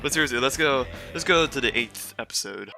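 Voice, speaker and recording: A man's voice: mid-range voice, expressive, a little fast, gravelly, over loud music. A man hosting a casual commentary recap, talking in a rambling, hesitant and self-deprecating way. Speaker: video host and reviewer.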